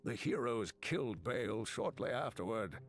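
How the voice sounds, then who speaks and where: An expressive, solemn lore scholar. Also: male voice, medium pitch, unhurried. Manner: solemn, storytelling. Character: grave male scholar chronicling ancient demonic lore. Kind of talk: scripted game lore narration.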